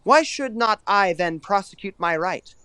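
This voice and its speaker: An actor playing a proud, bold young lover, arguing assertively in rhetorical Shakespearean verse. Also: male voice, sits high, lively intonation, unhurried, bright, forceful tone, clean tone, no echo, heavily acted. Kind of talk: Shakespearean verse audio drama acting.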